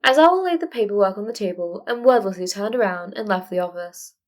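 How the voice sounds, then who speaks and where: A woman with a medium-pitched voice narrates a story. She reads in a scripted audiobook style, as the narrator of fan fiction. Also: lively intonation, fast.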